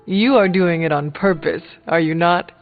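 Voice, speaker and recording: Man acting a higher-voiced, formal superhero companion. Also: lightly acted. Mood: dry teasing. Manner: formal, measured, without contractions. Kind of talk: video game character dialogue. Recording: tinny band-limited sound, music behind. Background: game music.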